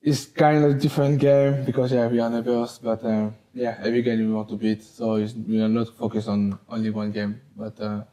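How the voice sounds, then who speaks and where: A young man with a French accent, a footballer answering interview questions in halting, simple English with easy laughs, on a lapel mic in a small wood-panelled room. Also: fairly low pitch, flat delivery, mellow, poor audio. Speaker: footballer guest. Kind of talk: casual interview.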